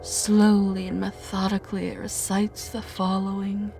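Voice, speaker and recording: A woman narrating a scripted horror story with a measured, dramatic delivery. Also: mid-range voice, completely flat delivery, creaky, mellow. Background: music.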